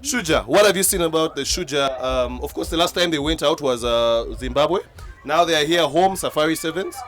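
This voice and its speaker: A man's voice: on the high side, expressive, moderate pace, a bit bright, faint music behind. A man from Uganda hosting a podcast, chatting with fans at an outdoor rugby event in a teasing, sing-song way. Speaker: podcast host interviewing fans. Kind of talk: casual interview chat at a rugby event.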